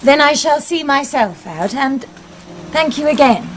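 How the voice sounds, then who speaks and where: A woman's voice: high-pitched, animated, measured pace, a little hiss or hum. A woman playing a refined, flirtatious society wife with an arch, effusive manner in a radio drama. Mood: uneasy, polite. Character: flirtatious, genteel society wife, secretly uneasy.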